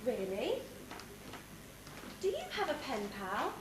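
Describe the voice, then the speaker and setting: A woman's voice: high-pitched, wildly swooping pitch, slow, heavily acted. A woman playing an eager, bashful young housemaid heroine, speaking earnestly in a stage pantomime. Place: theatre stage. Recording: echoey hall from far off, noisy.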